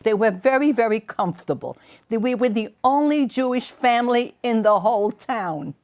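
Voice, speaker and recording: A woman's voice: on the high side, lively intonation, moderate pace, a little mellow. A woman, recounting her family history in a matter-of-fact way. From Germany. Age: 80s. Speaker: interviewee.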